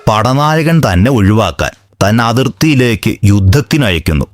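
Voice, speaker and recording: A man's voice: on the low side, sing-song, moderate pace. A man playing a shrewd, self-important village astrologer in a comedy cartoon, stern and pompous in his pronouncements. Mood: solemn pronouncing. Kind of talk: comedy cartoon skit dialogue.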